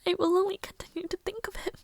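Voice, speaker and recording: A woman voicing a gentle, anxious, lovelorn young maid in an intimate fantasy audio roleplay, speaking softly and breathily with hesitant, old-fashioned formal phrasing. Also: extremely high pitch, fairly even intonation, fast talker, dry recording. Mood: wistful, heartbroken. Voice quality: soft, breathy, often near whisper.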